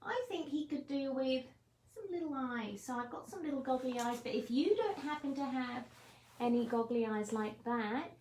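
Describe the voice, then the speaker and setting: Female children's presenter, gentle and encouraging, slightly high pitch, echoey room sound. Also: lively intonation, normal speed, slight background noise. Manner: encouraging, gentle nursery-teacher talk. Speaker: children's story time and craft presenter. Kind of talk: children's craft demonstration and chat.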